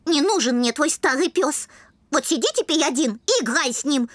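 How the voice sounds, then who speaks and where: Very high, bossy cartoon girl. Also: animated, normal speed, a bit bright, no room sound. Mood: fed up. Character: bossy lively older-sister cartoon girl, quick to tire. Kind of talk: animated cartoon acting.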